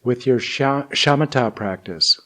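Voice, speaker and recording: A man teaching and guiding meditation in deliberate, measured phrases with long pauses. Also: medium pitch, fairly bright tone. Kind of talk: guided meditation and spoken teaching.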